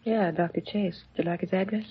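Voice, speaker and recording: An actress playing a curt, jaded ex-wife of a crook in a 1950s radio crime drama. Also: female voice, mid-range voice, fairly even intonation, a little fast, heavy background noise, lightly acted. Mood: guarded, curt. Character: bitter, hard-bitten ex-wife of a small-time crook. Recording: dull old radio recording.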